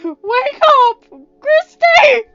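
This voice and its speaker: Lady playing a young guy screaming and sobbing, desperate, high and strained, kinda muffled audio. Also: lively intonation, extremely slow, fairly bright tone, faint music behind, exaggerated voice. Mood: anguished screaming. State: crying. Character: grief-stricken young man screaming for his sister.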